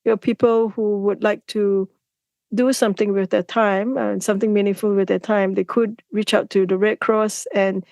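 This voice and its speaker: A woman's voice: mid-range voice, flat delivery, normal speed, gravelly, darkish tone, dry recording. A woman hosting a casual interview, encouraging her guest. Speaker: podcast host.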